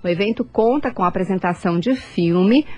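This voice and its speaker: A woman's voice: medium pitch, a little fast, warm tone. Chatty woman hosting casual radio. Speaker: radio host.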